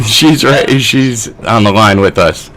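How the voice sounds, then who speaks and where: A man's voice: on the low side, takes it slowly, a bit bright. A man hosting a call-in radio show, asking the caller direct questions. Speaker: radio host.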